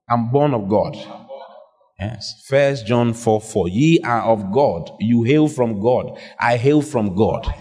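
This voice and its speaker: A man preaching a lively sermon to a congregation, calling out questions and drawing answers back from them. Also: mid-range voice. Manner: animated, call-and-response with the congregation. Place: church hall. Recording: husky voice in a reverberant hall.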